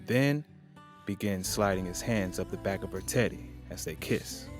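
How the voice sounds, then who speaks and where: Soft guitar music plays behind a man with a fairly low voice. He narrates an audio drama from a script. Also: moderate pace.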